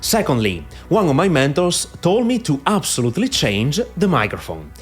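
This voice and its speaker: A middle-aged man with a strong Italian accent talks straight to camera about online marketing, his pitch rising and falling a lot and jumping up on key points. He fills pauses with frequent "uh"s, and soft background music runs under his voice on a podcaster mic. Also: wildly swooping pitch, husky. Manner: frequent "uh" fillers, emphatic lifts on key phrases. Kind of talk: direct-to-camera advice talk. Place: in front of a green screen. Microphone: podcaster microphone.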